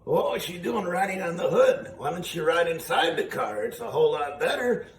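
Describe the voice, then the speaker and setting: A man's voice: high voice, lively intonation, normal speed. A man voicing a cranky, folksy old male puppet sidekick who interrupts with contrary wisecracks in a ventriloquist comedy routine. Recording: roomy, distant mic, faint noise.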